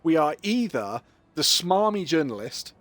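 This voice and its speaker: A man's voice: a little high, sing-song, unhurried, a bit bright. A British man streaming a game, chatting casually in a rambling, joking way and laughing often. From United Kingdom. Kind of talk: casual chat while playing a game live.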